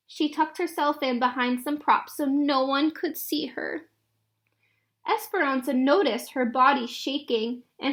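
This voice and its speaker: A woman reading a children's picture book aloud in an expressive storytelling style. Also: high-pitched. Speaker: read-aloud narrator.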